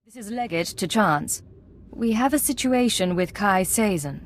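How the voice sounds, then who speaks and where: A woman's voice: mid-range voice, expressive, a little slow, a little hiss or hum, natural voice. An actress playing a self-assured female secret agent in a video game, controlled and coolly persuasive. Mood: businesslike.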